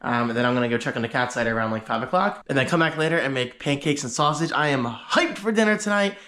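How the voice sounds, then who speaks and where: A man's voice: mid-range voice, animated, fast, pushed and bright. A man talking casually to the camera in a home vlog, chatty and upbeat with rambling asides, sounding slightly congested. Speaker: vlogger. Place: at home, indoors.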